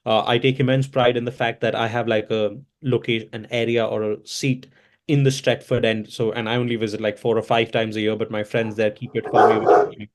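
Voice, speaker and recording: Hoarse guy chatting in an interview, rambles and restarts a lot, lots of uh and um. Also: fairly low pitch, level tone, fairly quick, slight background noise. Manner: rambling, self-correcting, many fillers. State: hoarse from chanting. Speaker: interview guest, events entrepreneur. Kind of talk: casual interview answers.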